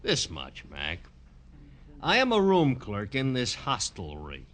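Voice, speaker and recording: A man's voice: mid-range voice, huge pitch swings, takes it slowly, a bit bright, heavily acted. A man playing a wisecracking, sarcastic flophouse desk clerk with an American accent in a 1950s radio drama, streetwise and mock-formal. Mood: sardonic.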